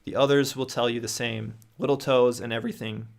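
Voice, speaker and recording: A young man with a gravelly, mid-pitched voice reads viewer stories aloud on a livestream. He speaks close to a studio microphone in a small room at home. He often stumbles, restarts and adds his own reactions as he goes. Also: fairly even intonation, brisk. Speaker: host reading viewer stories. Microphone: studio mic on boom near face.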